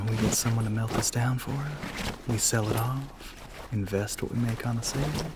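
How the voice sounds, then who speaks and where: A man's voice: medium pitch, normal speed, very noisy, natural voice. Man acting a drawling Southern outlaw bank robber. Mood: elated. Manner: drawling, folksy, coaxing with pet names. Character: scheming, volatile male bank robber, doting on his partner. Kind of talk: dramatic audio roleplay.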